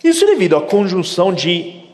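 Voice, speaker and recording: A man's voice: high-pitched, fairly quick. Middle-aged italian guy doing a keynote, acts out the dialogue, big echoey hall. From Italy. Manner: animated storytelling, acting out quoted dialogue. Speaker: keynote speaker. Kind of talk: conference keynote. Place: large conference hall. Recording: long hall reverb.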